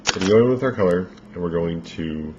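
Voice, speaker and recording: Man, very low voice, makeup tutorial, rambling casual instructions, slightly worn voice, dull lo-fi sound. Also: brisk. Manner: relaxed, rambling instructions with fillers. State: losing his voice. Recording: dull lo-fi home recording with faint noise.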